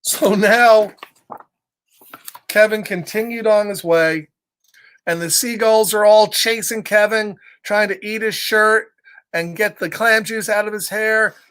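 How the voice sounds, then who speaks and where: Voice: medium pitch, takes it slowly, fairly bright tone, smooth. Children's storyteller reading casually. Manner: simple, repetitive, checking in with listeners. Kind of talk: casual picture-book read-aloud with asides to listeners.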